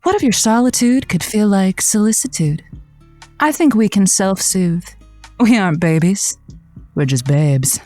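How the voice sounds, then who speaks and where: A woman playing a flirty, sharp-tongued late-night radio host in a comedy audio drama, with a suggestive, wry, playful manner. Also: medium pitch, lively intonation, unhurried, no room sound, soft background music, lightly acted. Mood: playful, sultry.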